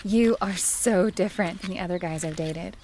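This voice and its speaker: A woman's voice: fairly low pitch, moderate pace, a little mellow, slight background noise, lightly acted. A woman playing an affectionate young student fiancée in an audio drama, with a conversational delivery. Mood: affectionate, teasing. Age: young adult.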